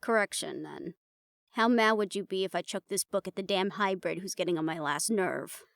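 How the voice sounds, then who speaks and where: A woman's voice: on the low side, moderate pace, gravelly, a bit bright, no room sound. A woman playing an uptight, weary researcher in a fantasy audio drama, with a dry, exasperated way of talking. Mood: irritated. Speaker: voice actor in an audio roleplay. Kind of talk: fantasy audio drama roleplay, conversational acting.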